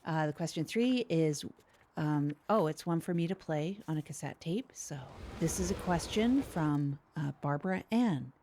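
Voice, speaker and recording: A woman's voice: low voice, animated, normal speed, dark tone, a little hiss or hum. Tog, a guileless, rambling radio host played by a woman. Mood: earnest. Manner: rambling, earnest, repetitive. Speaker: podcast co-host. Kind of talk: improvised comedy broadcast.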